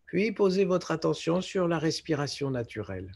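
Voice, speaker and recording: A man guiding a relaxation meditation as a yoga nidra teacher, speaking in a calm, measured way with short phrases and pauses. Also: on the high side, vocal fry, slightly rough. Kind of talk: guided relaxation meditation instruction.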